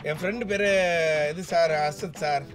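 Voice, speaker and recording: A man's voice: fairly high pitch, moderate pace, soft background music. A young man bantering as a teasing, persistent radio caller. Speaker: prank caller to radio show.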